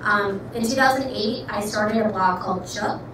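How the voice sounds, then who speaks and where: Earnest American woman in an echoey hall. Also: mid-range voice. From Pakistan. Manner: earnest, fast-flowing, many ums and likes. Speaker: startup founder and CEO. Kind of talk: pitch talk to a live audience. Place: event room with audience. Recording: echoey room, far mic, noisy.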